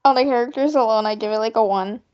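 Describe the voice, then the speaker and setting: A woman's voice: a little high, fast, a little mellow, clear, resonant tone. A young woman chatting casually as a guest on a voice call, teasing and repeating herself for emphasis. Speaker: guest co-commentator. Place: indoors on a Discord call. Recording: dull, muffled voice-call audio.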